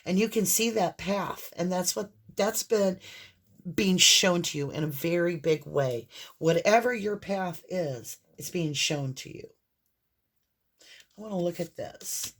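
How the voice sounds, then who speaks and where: A woman with a hoarse voice and a mixed Southern and Northern American accent, giving a casual, rambling tarot reading straight to the viewer. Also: lowish voice, a little slow. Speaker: tarot reader.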